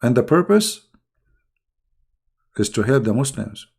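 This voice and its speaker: Hoarse, gravelly man mocking his opponents. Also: mid-range voice, very animated, measured pace. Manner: mocking, scornful, with sudden loud rises. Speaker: YouTube channel host. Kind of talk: casual polemical monologue to viewers.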